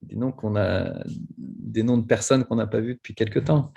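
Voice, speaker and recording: Gravelly, relaxed man hosting a webinar. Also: on the low side, normal speed, muffled recording. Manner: relaxed, easygoing. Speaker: webinar host. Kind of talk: moderating a webinar. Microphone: video call.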